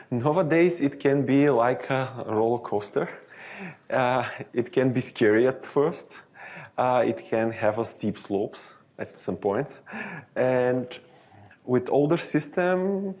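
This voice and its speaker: A man with an Eastern European accent giving a technical webinar presentation as a software development manager, halting and often correcting himself mid-sentence. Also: mid-range voice. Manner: halting, searching for words, frequent self-corrections.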